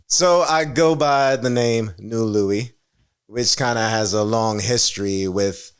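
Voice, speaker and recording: A man's voice: lowish voice, slow pace, clear, resonant tone. A man from Jamaica, a guest artist in a casual interview, talking in an earnest, reflective way with easy chuckles.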